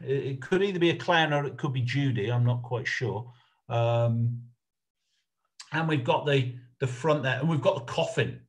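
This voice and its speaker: A middle-aged man presenting an informal slideshow lecture over a video call, haltingly, thinking aloud as he goes. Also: mid-range voice. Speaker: lecturer on puppet history.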